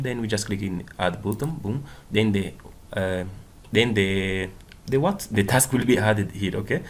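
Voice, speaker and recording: Brief: a man, native Portuguese speaker, lowish voice, casual coding tutor, keyboard clicks under a faint hiss. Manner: casual, repeats "okay" and "boom" often; non-native English with small slips. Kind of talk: screen-recorded coding walkthrough.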